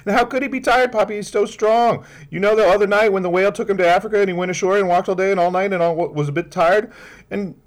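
A man voicing an earnest little girl who insists on every detail, in a high, quick, pleading voice. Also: steady tone, lightly acted, low-quality recording. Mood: insistent.

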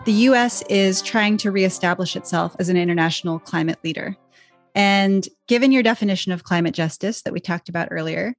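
A woman's voice: on the low side, dry recording. Woman host with faint music behind. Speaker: podcast host. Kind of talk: show announcement and interview question.

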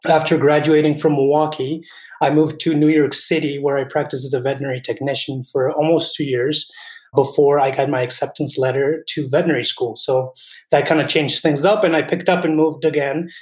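A man's voice: on the high side, fairly even intonation, a little fast, darkish tone, echoey room, low-quality recording. Earnest young man from Colombia. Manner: earnest, thoughtful, with many restarts. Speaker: veterinary student guest. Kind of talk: interview answers.

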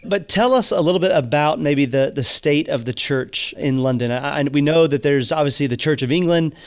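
A man's voice: on the high side, normal speed. A man hosting a podcast, introducing his guest and asking questions with frequent restarts. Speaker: podcast host. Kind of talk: podcast interview.

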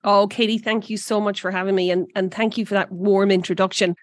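A woman's voice: mid-range voice, little pitch movement, fairly quick, dry recording, studio quality. A woman in her forties with an Irish accent, the guest in an interview, talking with enthusiasm and often restarting her sentences. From Ireland. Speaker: energy company president, guest. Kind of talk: interview conversation.

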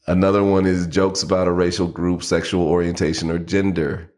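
A man with a very low voice co-hosting a podcast in easygoing conversation. He tells anecdotes, often restarting his sentences as he goes. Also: flat delivery, a little fast, a little mellow. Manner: storytelling, frequent restarts and fillers. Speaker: podcast co-host. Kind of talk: casual chat.

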